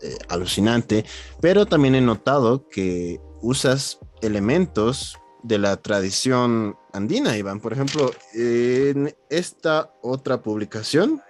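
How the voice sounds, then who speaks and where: A man's voice: medium pitch, expressive, slow pace. A man hosting a literary podcast in a conversational interviewing style. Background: background music.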